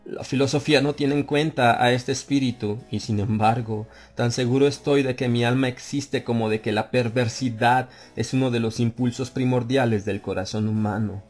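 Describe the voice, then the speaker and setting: A man narrating a dark literary tale as an audiobook, in a grave, measured first-person delivery. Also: mid-range voice. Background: soft music and ambient effects.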